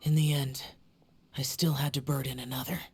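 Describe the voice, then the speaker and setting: A woman voicing a solemn young male warrior guardian in a video game, speaking in a grave, measured, weary way. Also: a bass voice, little pitch movement, darkish tone, slight background noise. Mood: reflective.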